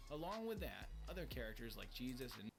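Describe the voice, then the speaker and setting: A man narrates a countdown list in a scripted, tongue-in-cheek way. His pitch moves up and down a lot, and clear background music plays under him. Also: a little fast. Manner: lively, tongue-in-cheek delivery.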